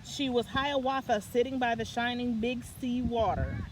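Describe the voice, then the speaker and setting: A woman's voice: a little high, normal speed, a little hiss or hum, poor audio. A woman reading a children's picture book aloud as a story time reader, now and then stumbling and correcting herself.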